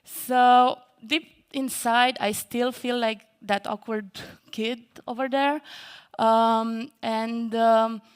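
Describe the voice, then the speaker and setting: A woman's voice: on the high side, clean tone. A middle-aged woman from Romania giving a nervous, self-deprecating keynote talk on a large event stage, with many fillers. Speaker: conference speaker.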